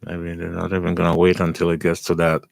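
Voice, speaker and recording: A man with a raspy, hoarse voice, giving calm live commentary as he day trades and thinks aloud. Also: low-pitched, quick pace, no echo, studio quality.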